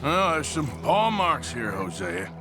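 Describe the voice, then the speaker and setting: An actor playing a gruff, laconic American outlaw gunslinger in a western video game, sceptical but loyal, with a drawling delivery. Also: male voice, a little high, very animated, a little slow, over music. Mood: reserved. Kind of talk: video game character acting.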